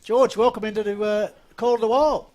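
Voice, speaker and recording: A man's voice: high-pitched, steady tone, brisk, a little mellow. A man with an Australian accent commentating local football and interviewing guests in a chatty, enthusiastic way. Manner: chatty, enthusiastic, many fillers. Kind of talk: local radio-style footy commentary.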